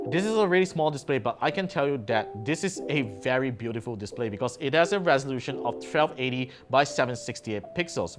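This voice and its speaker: A man giving an upbeat, casual gadget review, pointing out features as he goes. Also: medium pitch. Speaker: tech reviewer. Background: background music.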